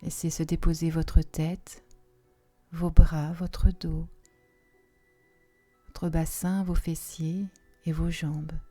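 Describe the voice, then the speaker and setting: A woman guides a deep relaxation session in a soft, soothing manner. Her voice is fairly low and very dark and mellow. She speaks very slowly, leaving long pauses between phrases. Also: flat delivery. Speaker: yoga nidra relaxation guide. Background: soft music.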